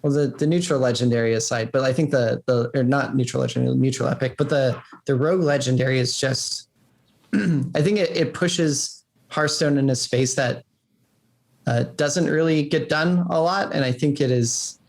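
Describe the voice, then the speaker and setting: Male game developer, medium pitch, conversational interview guest. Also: moderate pace, gravelly, a little mellow. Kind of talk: casual interview chat.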